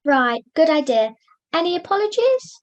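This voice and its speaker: A woman's voice: high voice, sing-song, moderate pace, dull audio. A young woman acting a polite, flustered puppet who chairs a meeting in an amateur puppet show. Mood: orderly, hesitant.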